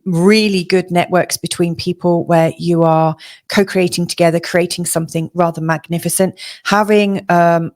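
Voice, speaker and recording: A woman giving an astrology forecast in a rambling, reflective way full of fillers, her voice going hoarse. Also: on the low side. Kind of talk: solo forecast talk to camera.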